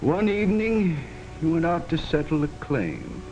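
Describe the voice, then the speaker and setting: An earnest narrator in a 1940s picture, looking back on his father's life. Orchestral soundtrack music plays clearly beneath the voice. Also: fairly high pitch, sing-song, unhurried, darkish tone, dull audio. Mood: reflective. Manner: earnest, respectful. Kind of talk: 1940s film narration and acting.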